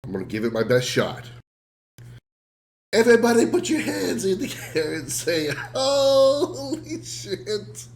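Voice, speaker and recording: A man streaming a game while sick, sounding rough and congested, rambling self-deprecatingly and answering his chat. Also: on the high side, wildly swooping pitch, moderate pace, long pauses. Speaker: game livestreamer. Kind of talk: casual livestream chat.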